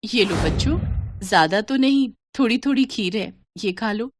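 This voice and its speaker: A woman's voice: on the high side, expressive, normal speed, no echo, clean studio sound, close to an everyday voice. A woman voicing a kind, humble village mother in a moral story. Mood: kind, pleading.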